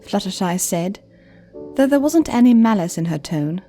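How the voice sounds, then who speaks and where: A woman narrating a scripted story in a measured, gentle storytelling manner. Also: mid-range voice, animated. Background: faint music.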